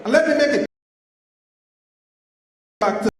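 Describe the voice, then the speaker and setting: A man's voice: very high voice, animated, a little slow. A man speaking up emphatically and insistently from the floor of a public meeting. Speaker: audience member speaking up. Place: large public meeting hall. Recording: echoey hall with long reverb.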